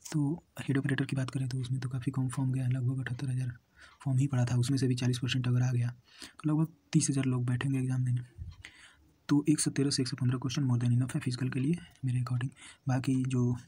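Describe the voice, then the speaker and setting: A young man with a husky voice, talking informally through an exam review, thinking aloud, repeating himself and dropping in English words. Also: mid-range voice, little pitch movement, a little fast, mellow. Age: young adult. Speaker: exam candidate giving paper analysis and advice.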